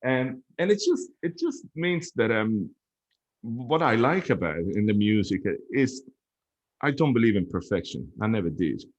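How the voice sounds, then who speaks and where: Low-voiced man, reflective and easygoing. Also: animated, normal speed, highs cut off. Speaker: podcast guest. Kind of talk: casual chat.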